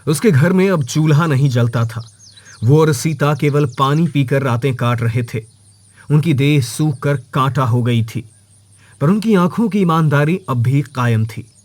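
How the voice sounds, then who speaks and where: A man's voice: on the high side, unhurried, a little mellow. A man reading a scripted moral story as its narrator, in a dramatic storytelling style.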